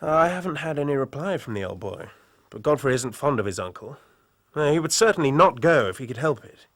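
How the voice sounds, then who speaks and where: Casting: young English varsity skipper, hearty and well-bred, loyal, anxious, close dry sound, radio play. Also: male voice, mid-range voice, lively intonation, a little fast, a bit bright, dry recording. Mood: candid. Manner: hearty, polite public-school speech. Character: earnest young university rugby captain, worried and loyal. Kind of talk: audio drama acting.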